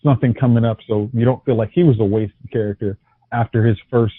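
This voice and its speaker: A man's voice: medium pitch, fairly even intonation, a little fast, slightly rough, no room sound. A man co-hosting a podcast, chatting casually about TV, often stumbling and restarting his sentences. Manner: hesitant, many restarts and fillers. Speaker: podcast co-host. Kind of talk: casual TV review chat. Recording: tinny phone-like audio.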